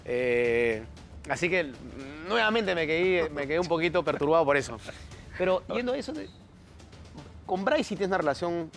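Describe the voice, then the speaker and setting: A man's voice: on the high side, lively intonation, normal speed, faint music behind. A man with a Peruvian accent in casual conversation, emphatic and often repeating himself as he searches for words. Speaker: talk show panelist.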